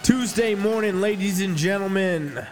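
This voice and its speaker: A high-pitched man rants like a hype coach, swearing freely and pushing his listeners hard. He sounds a little sniffly from allergies. The voice rings with a long reverb, and faint music sits under it. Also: normal speed. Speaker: fitness coach, podcast host. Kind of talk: profane motivational podcast rant.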